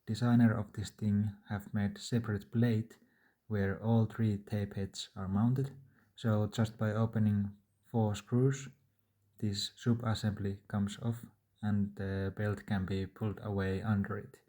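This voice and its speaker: A man's voice: fairly low pitch, level tone, unhurried, warm tone. A man narrating a do-it-yourself repair tutorial in a plain, matter-of-fact, step-by-step way. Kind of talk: instructional DIY narration.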